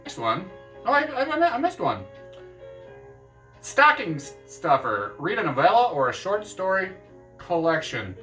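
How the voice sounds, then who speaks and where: A man chatting casually to camera about books, animated and quick to jump up in excitement. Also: distant mic, faint music behind. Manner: animated, jumps up in pitch with excitement. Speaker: book vlogger.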